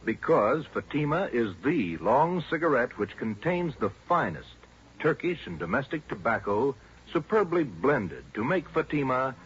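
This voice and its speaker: A man's voice: mid-range voice, big pitch swings, a little slow. An American man reading a 1940s radio commercial as a polished, emphatic announcer. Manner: polished, emphatic sales delivery. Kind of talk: 1940s radio advertising read. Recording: dull, faintly noisy 1940s radio recording.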